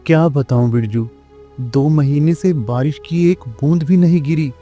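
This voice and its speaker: A man's voice: on the high side, measured pace, warm tone, muffled recording, faint music behind, natural voice. A man voicing a worried, humble village farmer in a Hindi moral-story cartoon, earnest and pleading.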